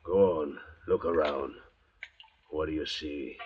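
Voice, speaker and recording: An actor playing a bitter, suspicious convict thief in an old radio drama, husky and sneering. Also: male voice, a bass voice, fairly even intonation, takes it slowly, a little mellow, slight background noise. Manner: sneering, surly. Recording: dull old radio recording.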